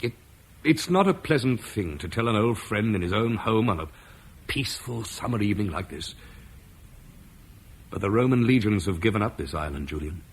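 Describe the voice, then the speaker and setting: An actor playing a weary Roman officer bringing grave news in a radio drama, speaking in a measured way. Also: male voice, fairly low pitch, animated, slight background noise. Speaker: Marcus, an officer. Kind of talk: 1970s BBC radio drama acting.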